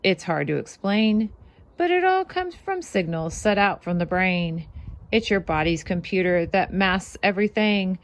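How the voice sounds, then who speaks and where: Animated woman reading to children, lively pitch. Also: unhurried. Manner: animated, sing-song rhyming delivery. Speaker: children's read-aloud host and fitness instructor. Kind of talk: expressive storybook read-aloud for children with casual asides.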